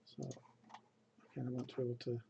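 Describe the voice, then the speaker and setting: A man's voice: mid-range voice, level tone, moderate pace, vocal fry, mellow, muffled recording, a little hiss or hum. A game developer, a man narrating his own coding in a recorded video, muttering each step as he works. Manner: mutters his steps as he codes. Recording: keyboard typing clicks behind.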